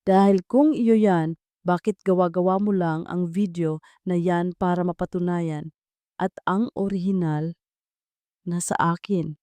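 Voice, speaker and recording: A woman narrator reads a confrontational young Filipino hero of a love drama. The delivery is deliberate and accusing, with a mellow tone in a dry, close recording. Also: on the low side, fairly even intonation, smooth, no echo, lightly acted. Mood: firm, accusing. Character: firm, confrontational young man, romantic hero.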